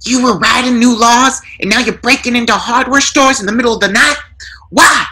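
Storybook burglar, male narrator acting: aggressive, indignant, loud, voice pushed up high. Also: fairly quick, heavily acted. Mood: exasperated. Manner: yelling, indignant outbursts.

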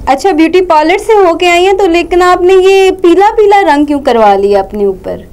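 High-voiced young woman hosting. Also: expressive, fairly quick, darkish tone. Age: young adult. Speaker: TV host. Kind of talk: chatting with puppets on children's holiday show. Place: TV studio.

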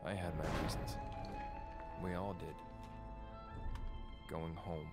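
A man's voice: low voice, little pitch movement, slow pace, over loud music. An actor playing a brooding soldier narrating his story for a video game, grave and terse.

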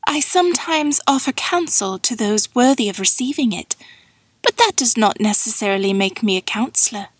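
A woman's voice: fairly high pitch, moderate pace, slightly rough, dry recording. A woman playing an ancient, all-knowing sphinx in a fantasy audiobook, speaking in a dreamy, formal drone with cool menace. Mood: coolly inviting. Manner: dreamy, droning monotone, formal and cryptic.

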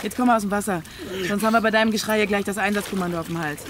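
A woman's voice: medium pitch, steady tone, rapid-fire, background noise, close to an everyday voice. An actress playing a brash, sarcastic, bossy young woman in a German radio crime play, snappy and colloquial. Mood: urgent, bossy.

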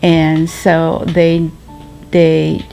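A woman in her seventies whose first language is Japanese, recalling the past slowly and hesitantly, with many ums and restarts. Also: low-pitched, completely flat delivery, creaky, warm tone, soft background music. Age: 70s. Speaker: interviewee. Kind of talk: slow reflective recollection. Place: sunlit living room at home.

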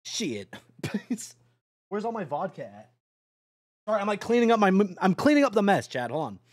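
A young male streamer with a high voice that swoops all over as he chatters to his chat while driving a game truck. He swears freely and yelps and laughs at every mishap. The sound is dry and close, with no room around it. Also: big pitch swings, normal speed, no room sound. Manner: addresses chat directly.

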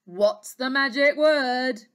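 A woman playing a calm, unimpressed big dragon in a storybook, firm and deliberate. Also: high voice, smooth. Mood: stern, unruffled. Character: calm, unimpressed big green dragon, strict about manners.

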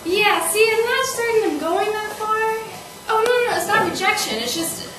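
A woman performing a comedic stage monologue as an anxious, volatile first date, halting and stammering. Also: very high-pitched, expressive. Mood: flustered. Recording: noisy, echoey stage, distant mic.

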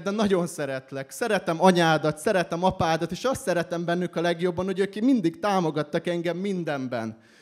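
High-voiced young man performing slam poetry.

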